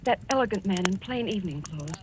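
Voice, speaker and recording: A woman's voice: medium pitch, animated, fast, a little mellow, close to an everyday voice. An actress playing an anxious young Hungarian-American woman in love in a radio drama, pleading and hesitant. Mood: nervous. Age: young adult. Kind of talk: 1940s radio acting. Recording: noisy old radio recording.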